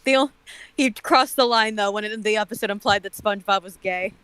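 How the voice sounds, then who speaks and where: A woman's voice: mid-range voice, fairly quick, fairly bright tone, clean tone, dry recording. A woman giving casual commentary as a guest on a stream, mixing criticism with joking remarks. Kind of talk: casual critical chat with jokes.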